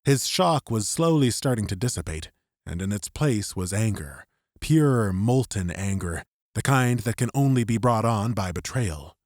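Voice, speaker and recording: A man's voice: lowish voice, animated, a little slow, dry recording, studio quality. A man reading dramatic scripted narration as an audiobook narrator. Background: music.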